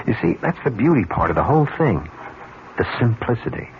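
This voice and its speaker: A man's voice: mid-range voice, very animated, brisk. An American actor playing a cynical, persuasive schemer in a radio drama, insistent and smug as he makes his case. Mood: smugly persuasive. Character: cynical, persuasive schemer sure everyone is corruptible. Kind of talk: 1970s radio drama acting. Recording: muffled vintage radio recording, faint noise.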